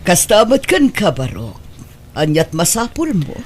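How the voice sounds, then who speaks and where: Woman acting a husky, swooping older village woman. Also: on the low side, huge pitch swings, a little slow, a little mellow, exaggerated voice. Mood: welcoming. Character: older village woman, caring, plain-spoken. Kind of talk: radio drama acting.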